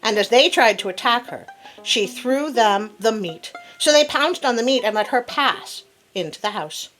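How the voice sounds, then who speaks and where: A woman telling a fable as host in an expressive, theatrical read-aloud style with playful asides. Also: fairly high pitch, moderate pace, low-quality recording. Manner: theatrical, animated. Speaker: storyteller host. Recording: classical music playing behind. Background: soft piano sonata.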